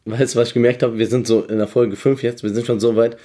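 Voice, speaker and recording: A hoarse young man co-hosting a podcast in easygoing, joking chat. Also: medium pitch, level tone, quick pace, mellow. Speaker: podcast co-host. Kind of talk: casual chat.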